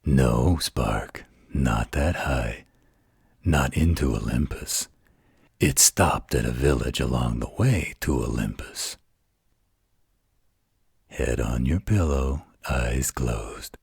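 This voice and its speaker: Man as a very deep, gentle werewolf storyteller. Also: slow pace, vocal fry, breathy edge, no room sound, studio quality, exaggerated voice. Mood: patient, tender. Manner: soothing, tender, patient. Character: gentle, patient male werewolf telling a bedtime story. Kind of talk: intimate bedtime roleplay storytelling.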